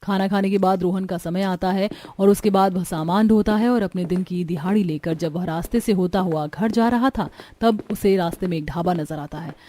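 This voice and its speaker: A woman's voice: mid-range voice, dark tone. A woman reading scripted story narration as the narrator.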